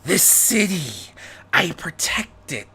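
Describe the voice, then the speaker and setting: A menacing demonic bat creature, growling its threats very slowly in a story reading. The gravelly voice drags out each word with fierce intent. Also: male voice, a little high, sing-song, bright, forceful tone, voice pushed up, exaggerated voice. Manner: slow, drawn-out threats.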